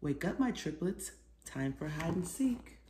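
Low, mellow woman reading a storybook. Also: a little slow, a little hiss or hum. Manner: expressive, asks listeners questions. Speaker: children's author reading her own book. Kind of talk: storytime read-aloud to children, with asides. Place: small living room, seated on a sofa. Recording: roomy indoor echo.

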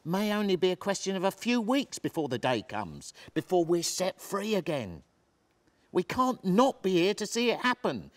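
A man plays a pub landlord in a stage drama, a steadfast husband under strain. His voice sits a little high, and he speaks with earnest, stubborn emphasis. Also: big pitch swings, normal speed. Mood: earnest, resolute. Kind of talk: dramatic script reading on stage. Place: theatre stage, seated reading.

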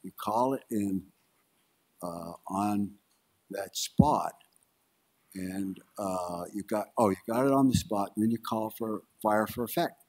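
An older man, a veteran, explaining in a halting way with many fillers and restarts on a handheld mic in a large lecture hall. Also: fairly low pitch, a little mellow. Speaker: veteran panelist. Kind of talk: explaining from the floor at a panel.

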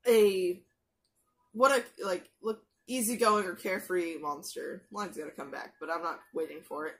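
A woman's voice: on the low side, a bit bright. A young woman talks loosely and casually, piling up fillers and restarting her sentences as she goes. She sounds close to a headset microphone in a small bedroom. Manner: chatty, many fillers and restarts, quick asides. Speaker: anime reaction youtuber. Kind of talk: casual rambling commentary. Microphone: gaming headset mic.

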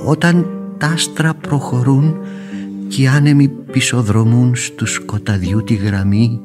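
An actor playing a gentle older uncle who adores roses, speaking poetically and with wonder in radio theatre. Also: male voice, mid-range voice, steady tone, lightly acted. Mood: rapt, lyrical. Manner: wonder-struck, poetic, emphatic. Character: gentle older uncle, rapt rose-loving amateur gardener. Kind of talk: radio theatre acting, lyrical recitation. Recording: radio drama with guitar music behind.

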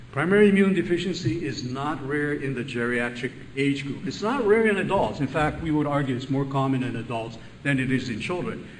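An older man from Hawaii with a loud voice, giving a chatty, joking conference lecture as a physician. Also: mid-range voice, slight background noise. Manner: chatty, self-deprecating, laughs at own jokes. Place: large conference room. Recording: echoey room, voice from a distance.

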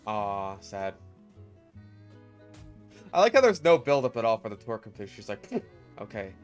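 A man giving joking gameplay commentary, sarcastic, swearing often, swinging between low deadpan mumbles and loud outbursts. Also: normal speed, clean tone, faint music behind. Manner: swears often, riffs on memes and cartoon bits. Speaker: gaming channel host.

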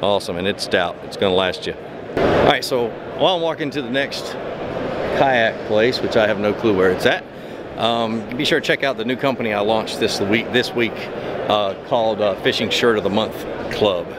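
A man's voice: lowish voice, normal speed. A man in his thirties giving a casual, upbeat walk-and-talk product tour, rambling and restarting his sentences as he goes, recorded on a close camera mic in a large indoor trade show hall. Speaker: fishing vlogger. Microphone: camera mic held close while walking. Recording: loud crowded expo hall background noise. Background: loud crowd chatter of a busy convention floor.

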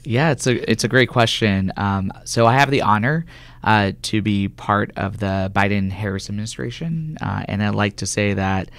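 A man's voice: on the low side, moderate pace, fairly bright tone, no room sound. A man from California answering conversationally as an interview guest, a government health official, in a radio studio. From California, United States.

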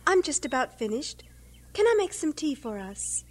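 An actress playing a brisk, motherly English missionary schoolmistress in an audio drama, matter-of-fact and homely. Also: sits high, big pitch swings. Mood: brisk, friendly.

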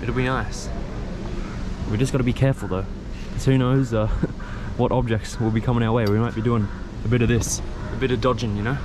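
A young man, vlogging excitedly to an action camera held at arm's length from an open train doorway. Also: brisk. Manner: excited, chatty, laughs often. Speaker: travel vlogger. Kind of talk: casual travel vlog to camera. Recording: loud train noise behind. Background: loud train rumble and wind.

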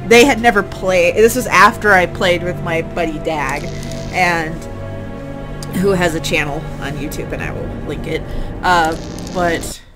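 Woman gamer, loud game music behind. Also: fairly low pitch, measured pace. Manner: exclamatory, swearing. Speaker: let's play host. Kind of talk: casual gameplay commentary. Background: video game music and effects.

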